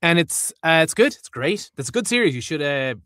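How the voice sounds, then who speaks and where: An Irish man with a bright, forward voice talks easily as an interview guest. The recording is close and dry, with no room around it. He is chatty and slips into other accents for fun. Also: fairly high pitch, sing-song, brisk, no echo. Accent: Irish, from Wexford. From Ireland. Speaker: audiobook narrator, guest. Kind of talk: casual interview chat.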